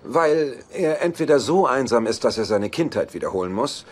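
An actor playing a dry, sarcastic, exasperated bachelor brother in a German-dubbed sitcom. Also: male voice, medium pitch, normal speed, close to an everyday voice. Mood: sardonic. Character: sardonic, exasperated bachelor brother who writes jingles. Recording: noisy TV soundtrack rip.

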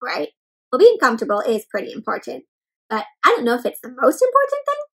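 Young female children's read-aloud host. High-pitched, bright, animated and full of questions for young listeners. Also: quick pace, vocal fry, breathy edge. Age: young adult. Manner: animated, exclaiming, addressing children as friends. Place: indoor room with bookshelves.

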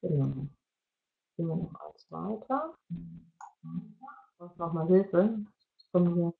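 A middle-aged woman giving an explanatory online presentation in a halting way, searching for words. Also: on the low side, animated, vocal fry, soft background music. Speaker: media educator presenting at an online parents' evening. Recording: dull, lo-fi video-call audio.

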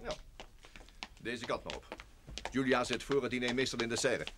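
A man's voice: mid-range voice, expressive, moderate pace, close to an everyday voice. An actor playing a genial, easygoing man showing people around in a vintage radio play, breezy, familiar and reassuring. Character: genial, easygoing male driver and guide, quick to chuckle. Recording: noisy radio play, vehicle sound.

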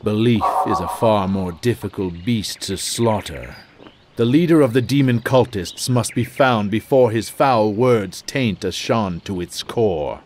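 An actor playing a stern, pious necromancer lord in a fantasy game, with pompous, measured, archaic speech. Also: male voice, lowish voice, background noise, lightly acted. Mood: menacing, haughty. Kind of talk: dramatic fantasy game dialogue. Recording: loud music under the voice.

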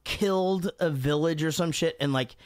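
A man's voice: fairly high pitch, moderate pace. A man hosting a casual movie podcast, joking and swearing as he talks through the film. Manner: profane, joking, animated. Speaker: podcast host.

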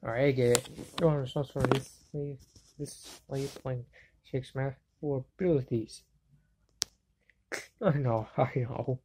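A woman's voice: a bass voice, a little slow, long pauses, noisy background, voice pushed down. A woman voicing a brash, cocky, hot-headed young sidekick in an anime fan dub, slangy, mouthy and exclamatory, on an amateur home recording. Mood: grumbling, muttering. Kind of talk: anime fan-dub acting, solo voice clips.

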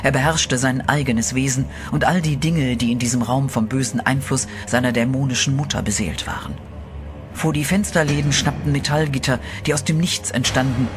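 A man narrating a horror radio play in a steady, dramatic storytelling voice, with eerie music behind him. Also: medium pitch, normal speed.

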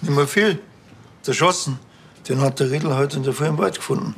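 An actor playing a dry, sardonic middle-aged village cop in a TV crime comedy, laconic and deadpan, with a Bavarian accent. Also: male voice, medium pitch, a little fast, gravelly, slight background noise.

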